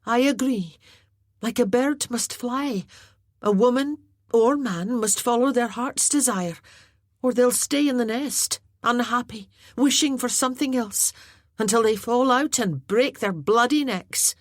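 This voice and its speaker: A woman voicing a spirited, quick-tempered young heroine with a sharp tongue, in a storybook reading. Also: fairly high pitch, no echo, clean studio sound, voice pushed up. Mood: teasing. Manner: sharp, exclaiming.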